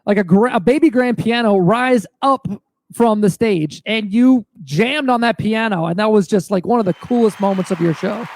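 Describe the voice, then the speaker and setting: A man's voice: sits high, moderate pace, no room sound. A man hosting a casual podcast, talking with gushing enthusiasm as a fellow musician. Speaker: podcast host and touring musician. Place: remote online call from own location.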